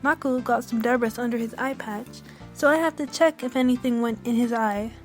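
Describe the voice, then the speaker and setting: A woman's voice: on the high side, a little fast, soft background music, lightly acted. A woman acting a polite, matter-of-fact village doctor in a fan radio play.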